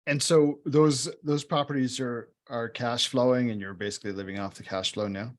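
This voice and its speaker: A man hosting an interview show, asking probing questions in a relaxed conversational way with some restarts. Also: mid-range voice, moderate pace. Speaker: podcast host.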